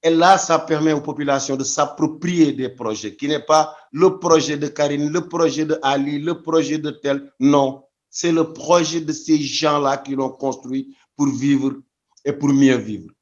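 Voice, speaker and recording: A man's voice: a little high, fairly even intonation, measured pace, dull audio. A man from Senegal answering interview questions thoughtfully, often restarting his phrases. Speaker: guest.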